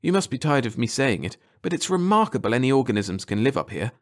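A man's voice: medium pitch, very animated, quick pace, natural voice. A man voicing an eager, earnest female scientist, an aquatic creature, in an audiobook. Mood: awed. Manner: earnest, wonder-struck, pleading. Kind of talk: audiobook character voice.

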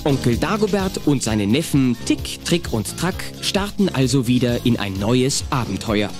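A man's voice: mid-range voice, lively intonation, a little slow, close to an everyday voice. A man narrating a children's cartoon audio drama as a storyteller. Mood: dramatic storytelling. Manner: storytelling, announcing. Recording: music bed behind the voice. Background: music.

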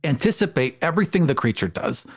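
A man's voice: on the high side, very animated, fast talker. A man making emphatic, opinionated points in a casual discussion. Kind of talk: casual tabletop game discussion.

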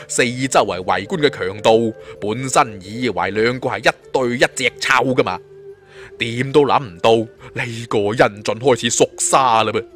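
Man, Cantonese accent, mid-pitched, dramatic story narration, very animated intonation, colloquial delivery. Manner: theatrical, colloquial. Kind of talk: dramatic audiobook narration of a martial fantasy tale. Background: background music.

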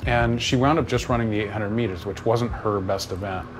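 A man's voice: lowish voice, fairly even intonation, fairly quick, background noise. A man recalling events as an interviewee. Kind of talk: personal recollection in an interview.

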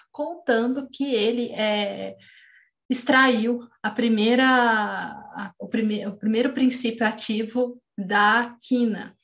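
Brief: Brazilian woman in her 30s, higher voice, hesitant academic lecturer on a webcam call. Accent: Brazilian Portuguese. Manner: hesitant, many restarts and fillers. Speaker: postdoctoral researcher lecturing. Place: home room, seen on webcam. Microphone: video call webcam.

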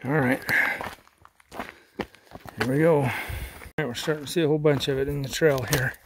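A man's voice: mid-range voice, fairly even intonation, normal speed, noisy background. A middle-aged man chatting casually while he hikes an outdoor trail, pointing things out and easily impressed. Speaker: vlogger. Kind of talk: casual hiking commentary. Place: outdoors on a rocky desert trail.

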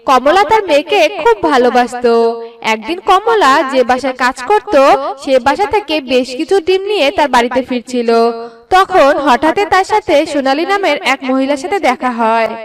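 A woman's voice: high-pitched, animated, normal speed, a bit bright, recorded from a distance. A woman reading scripted narration for a children's story, recorded a little away from the mic.

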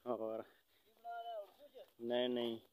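A man's voice: medium pitch, monotone, drawn-out words, crowd noise. A young man with a Punjabi accent, chatting casually to his phone as a village vlogger. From rural Punjab. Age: young adult. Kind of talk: casual vlog chat. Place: outdoors in farm village. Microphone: phone at arm's length. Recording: outdoor phone recording with background noise.

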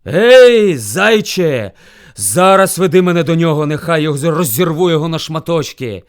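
A man's voice: sits high, animated, moderate pace, a bit bright, pitched above the performer's own voice. A man voicing a furious, hungry bear who bullies and threatens as lord of the forest in a folk tale. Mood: roaring rage. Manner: roaring, threatening, bellowing demands. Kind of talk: animated folk tale storytelling.

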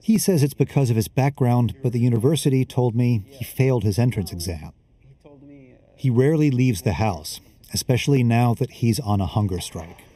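A male reporter narrating a documentary voice-over in a measured, even manner. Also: medium pitch, husky. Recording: voice-over under music.

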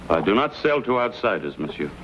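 A suspicious, menacing Cajun trapper. His voice is fairly low yet very varied in pitch, on a muffled old western soundtrack. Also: sing-song, a little fast, fairly bright tone. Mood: hostile, menacing. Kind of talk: 1950s TV western acting. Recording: muffled vintage film soundtrack, faint noise.

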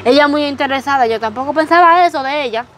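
A woman's voice: high-pitched, fast, fairly bright tone. A young woman acting as a friend who sighs and gossips sympathetically. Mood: sympathetic. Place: outdoors, rural yard by a hammock.

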